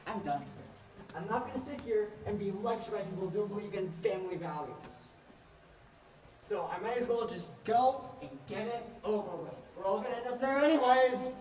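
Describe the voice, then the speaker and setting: A woman's voice: mid-range voice, lively intonation, a little fast, darkish tone, natural voice. A combative, bitter killer in denial acted by a woman in a stage play. The voice is heard from a distance in a reverberant hall. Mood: angry, fed up. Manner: combative, confrontational. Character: defiant, bitter dead murderer in denial, male. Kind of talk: amateur student stage play acting. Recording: echoey hall, far from the mic.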